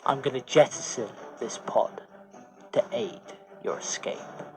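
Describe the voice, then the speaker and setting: A man's voice: mid-range voice, measured pace, faint music behind, close to an everyday voice, low-quality recording. A man voicing a mysterious, loyal companion to a prince in a science fiction audio story, earnest and deferential. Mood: determined, protective.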